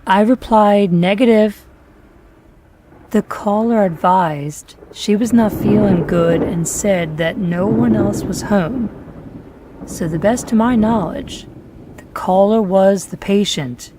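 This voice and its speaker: A woman giving a slow, somber first-person horror story narration. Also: medium pitch, heavy background noise.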